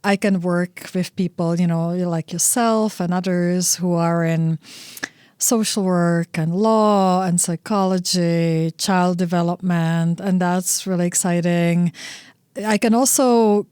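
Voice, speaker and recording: A middle-aged woman from the Czech Republic, a research guest answering interview questions in a thoughtful, measured way. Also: fairly low pitch, fairly even intonation, darkish tone, smooth, dry recording, studio quality. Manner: thoughtful, measured, with frequent "you know" fillers.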